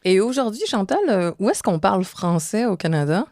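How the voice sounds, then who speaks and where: A woman co-hosting a travel podcast, introducing guests and asking clear, friendly questions. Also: medium pitch, animated, a little fast, a little mellow, no echo, clean studio sound. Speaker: podcast co-host.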